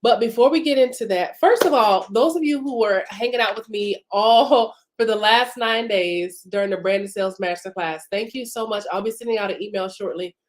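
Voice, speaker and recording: A woman's voice: medium pitch, moderate pace, few pauses. A woman business coach hosting a live broadcast, chatting informally with many fillers while congested from a cough and sore throat. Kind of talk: casual live-stream teaching.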